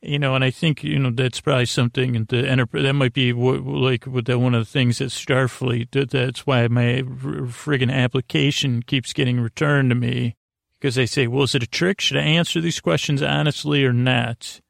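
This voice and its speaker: A man hosts a sleep podcast in a creaky voice, recorded close and dry. He rambles in a lulling way, halting, repeating himself and letting thoughts trail off. The whole thing is an unhurried, wandering bedtime monologue. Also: mid-range voice, fairly even intonation, dry recording. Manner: lulling, soothing.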